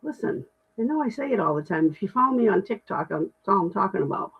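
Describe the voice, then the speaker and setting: Middle-aged woman, deep voice, candid self-deprecating livestream chat, tinny phone-like sound. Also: animated, fast, breathy edge. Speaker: livestream host. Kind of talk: casual livestream chat reading comments.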